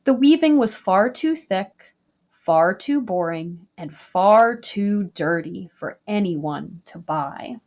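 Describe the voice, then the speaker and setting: A woman reading a children's picture book aloud, slow, gentle and expressive. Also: mid-range voice, muffled recording. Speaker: storybook reader.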